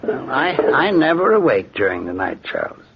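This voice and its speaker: A man playing a frail, proud, suspicious old uncle, querulous and gravelly, in 1940s radio horror style. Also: mid-range voice, big pitch swings, moderate pace, heavily acted. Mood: testy, sly. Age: older. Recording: dull, noisy 1940s radio recording.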